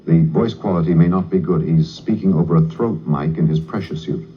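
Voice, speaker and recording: An actor playing an earnest, excitable radio news reporter in a 1950s radio drama. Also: male voice, sits low, brisk, lightly acted. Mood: tense, hushed. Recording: dull, noisy 1950s radio recording.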